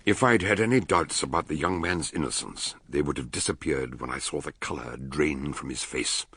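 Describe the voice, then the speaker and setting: A man's voice: very deep voice, moderate pace, a bit bright, husky, full character voice. An older male actor with an English accent playing an eccentric, brilliant detective doctor in a radio drama, ponderous and kindly. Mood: sombre narration. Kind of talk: radio drama acting and narration.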